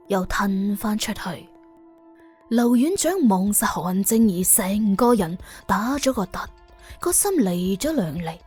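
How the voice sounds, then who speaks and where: Woman, Cantonese accent, mid-pitched, raspy breathy tone, scripted suspense narration, audiobook storyteller. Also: a little mellow.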